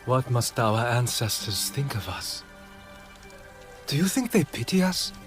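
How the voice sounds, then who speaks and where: An anguished, self-doubting alien clansman in a video game drama. His voice is medium in pitch, with faint music behind. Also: big pitch swings, a little slow.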